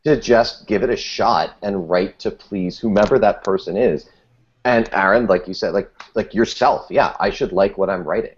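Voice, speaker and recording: A man's voice: fairly low pitch, moderate pace, husky, dull audio. A man talking animatedly and self-deprecatingly as a guest in a casual panel discussion. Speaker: podcast guest.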